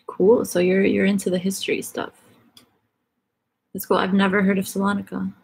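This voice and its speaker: Gravelly, husky woman live-streamer. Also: mid-range voice, monotone, normal speed, darkish tone. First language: Egyptian Arabic. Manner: chatty, filler-heavy, reads questions aloud. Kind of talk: casual live-stream chat reading viewer comments.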